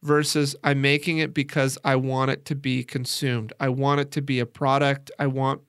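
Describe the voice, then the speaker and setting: A man's voice: medium pitch, flat delivery, normal speed, a bit bright, smooth, dry recording, clean studio sound. A man hosting a podcast, speaking as a narrator and conversational interviewer.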